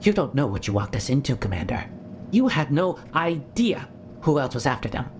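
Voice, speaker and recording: A man playing an anxious, earnest doctor in a sci-fi audio drama, urgent and halting. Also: mid-range voice, sing-song, noisy background. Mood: urgent warning.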